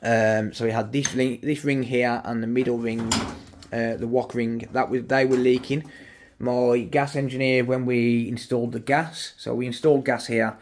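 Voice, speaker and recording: A middle-aged man with a West Midlands English accent, chatting casually and rambling as he films on a handheld phone while walking through a house under renovation. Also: medium pitch. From West Midlands, England. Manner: chatty, rambling, self-correcting with many fillers. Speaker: electronics repair YouTuber. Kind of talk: casual walkthrough vlog. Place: unfinished house under renovation, indoors and garden. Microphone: handheld phone camera, filming as he walks.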